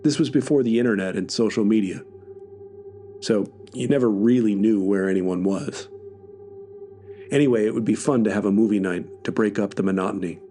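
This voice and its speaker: Low-voiced man narrating a horror story. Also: normal speed, mellow, faint music behind. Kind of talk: scripted first-person horror narration.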